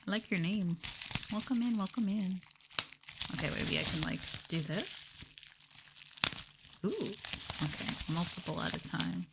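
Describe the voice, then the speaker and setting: A woman streaming ASMR, chatting casually and softly to her viewers, often slipping toward a whisper. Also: on the low side, lively intonation, measured pace, creaky, long pauses, heavy background noise. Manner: soft-spoken, often dropping into whispers. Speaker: VTuber streamer hosting an ASMR livestream. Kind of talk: casual ASMR soft talking to chat. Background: crinkling plastic and tapping trigger sounds.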